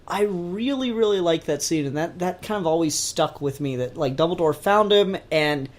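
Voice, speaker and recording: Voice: medium pitch, expressive, normal speed. Informal podcast co-host with a mid-range voice. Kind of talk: casual pop-culture discussion.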